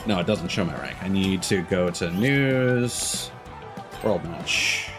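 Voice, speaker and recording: Guy with a lowish voice doing casual game stream chat, kinda rambly, restarts a lot, bit of music behind. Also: expressive, slow pace. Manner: rambling, self-interrupting, frequent stops and restarts. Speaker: live streamer.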